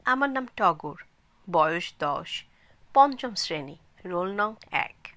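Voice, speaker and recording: A woman's voice: on the low side, sing-song, takes it slowly, bright, forceful tone, no room sound, exaggerated voice. A woman voicing an earnest, shy ten-year-old village boy in a story, reciting simply and literally.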